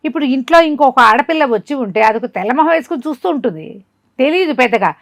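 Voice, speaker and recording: A woman's voice: high-pitched, quick pace, no echo, clean studio sound. A woman telling a folk tale conversationally to a listener, acting it out as she goes. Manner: animated retelling, acting out quoted dialogue. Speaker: storyteller.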